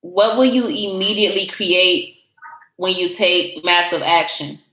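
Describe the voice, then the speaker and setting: A woman hosting a casual group call, reading aloud and asking questions in a relaxed way. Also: fairly low pitch, measured pace. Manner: reads passages aloud, asks questions, relaxed. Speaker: host. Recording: echoey room.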